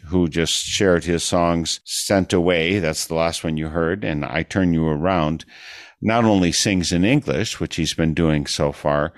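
A man hosting a radio interview, asking measured, curious questions. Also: rumbling low, dry recording, studio quality. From United States. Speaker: radio host.

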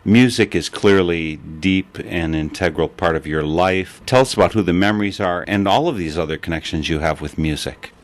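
Man, low-pitched voice, interview host, measured conversational delivery.

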